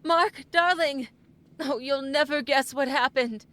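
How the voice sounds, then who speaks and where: A woman acts out a grieving mother in a vintage radio melodrama. Her voice sits high and moves slowly, pleading with desperate, emphatic feeling as she clings to hope. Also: fairly bright tone. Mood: shaken relief. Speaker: actor in an audio drama. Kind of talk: old-time radio drama acting.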